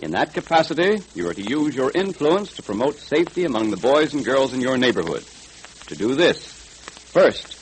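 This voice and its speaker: An American man announcing in 1930s radio style, emphatic and deliberate, pitching to young listeners. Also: poor audio. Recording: hissy 1930s radio recording.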